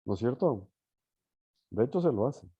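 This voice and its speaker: A man's voice: mid-range voice, very animated, normal speed, warm tone, slightly rough, no room sound. Male teacher lecturing, slightly muffled audio. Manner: hesitant, checks understanding with short tag questions. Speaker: university teacher leading an online or live class. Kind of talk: informal lecture with questions to students.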